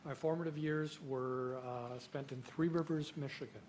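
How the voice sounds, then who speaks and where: A man's voice: a little high, fairly even intonation, normal speed, a little mellow, a little hiss or hum. A city manager introducing himself at a council meeting, chatty and repeating himself as he chuckles through a story.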